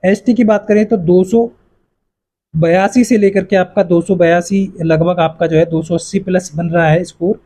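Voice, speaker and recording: A man's voice: high-pitched, steady tone, moderate pace, mellow. A man casually explaining exam cutoff scores to students, addressing them as friends, with a repetitive, reassuring manner and lots of fillers. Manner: repetitive, fills with "yahan par" and "jo hai". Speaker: exam coaching YouTuber.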